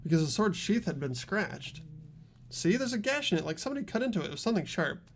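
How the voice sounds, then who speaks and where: A man's voice: sits high, very animated, fast talker, full character voice. A man voicing an earnest teenage boy in a fan-dubbed mystery game, reasoning things out aloud. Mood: questioning, insistent. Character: earnest teenage boy student working out a murder.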